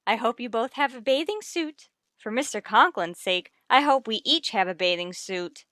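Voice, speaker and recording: An actress playing a middle-aged, breezily bossy wife and gushing hostess in a vintage radio comedy. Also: female voice, a little high, animated, normal speed, pushed and bright, no room sound, clean studio sound. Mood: brisk, hospitable. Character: chirpy, managing middle-aged wife of the principal.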